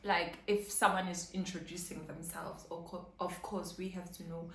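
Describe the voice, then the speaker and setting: A woman's voice: on the low side, little pitch movement, moderate pace. A young woman vlogging in casual chat to camera, earnest and rambling with lots of fillers. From South Africa, KwaZulu-Natal. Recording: roomy echo, faint background hum.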